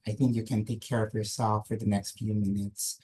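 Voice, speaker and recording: A man whose first language is Filipino, presenting as a nurse practitioner on a video-call webinar, speaking slowly and gently as he leads a grounding exercise. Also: lowish voice, little pitch movement, husky. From Philippines. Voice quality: slow, measured, gentle invitational delivery. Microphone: computer mic on a video call.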